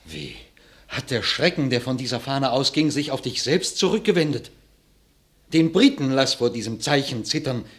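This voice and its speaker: Noble knight, medium register, stately emphatic verse, loyal and insistent. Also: male voice, mid-range voice, sing-song, moderate pace, a bit bright. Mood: troubled, persuasive. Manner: formal, emphatic stage diction. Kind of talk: classical German verse radio drama.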